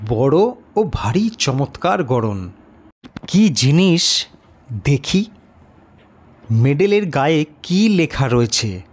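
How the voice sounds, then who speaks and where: A man's voice: on the high side, darkish tone. A man narrating a literary story in the first person, reading from a script.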